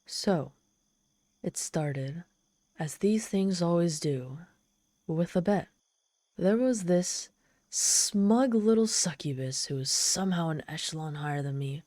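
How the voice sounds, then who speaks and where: A woman's voice: fairly low pitch, lively intonation, slow, warm tone, dry recording, clean studio sound. A woman voicing a proud, lonely, trapped female demon in an ASMR roleplay, sardonic and exasperated with a pleading, vulnerable streak. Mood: reluctant. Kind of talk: ASMR roleplay monologue, dramatic storytelling.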